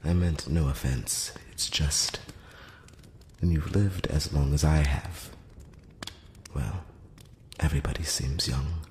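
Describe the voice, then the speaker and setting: A man voicing an ancient, courtly male elf emissary in a soft, whispery, close-up ASMR roleplay, speaking with formal, slightly condescending courtesy. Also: fairly even intonation, roomy sound, big-room sound, slight background noise. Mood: gently condescending. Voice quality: very low, soft, often slipping into whisper. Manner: slow, hushed, measured, with formal courteous phrasing. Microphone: close-up microphone.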